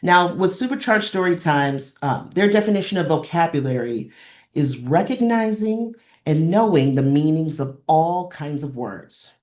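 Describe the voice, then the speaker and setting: A woman's voice: deep voice, darkish tone. A woman presenting an instructional webinar, explaining in an encouraging way and repeating phrases for emphasis. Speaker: presenter of a librarian training session. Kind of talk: instructional webinar talk with examples.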